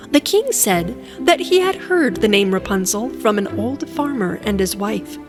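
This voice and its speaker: Expressive, slow-paced woman storyteller. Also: fairly high pitch. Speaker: storyteller, narrator. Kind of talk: scripted children's fairy tale narration.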